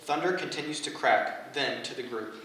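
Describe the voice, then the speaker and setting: A man's voice: mid-range voice, moderate pace, sharp tone. A man reading stage directions as scripted narration at a staged play reading. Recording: echoey room, far from mic, faint noise.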